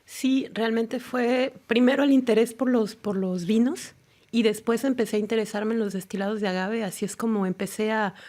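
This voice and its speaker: A woman's voice: fairly high pitch, fairly even intonation, normal speed, darkish tone. A woman from Mexico with a Mexican accent, answering interview questions in a studio. She is thoughtful and often hesitates while searching for words. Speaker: tequila ambassador, guest. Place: radio studio.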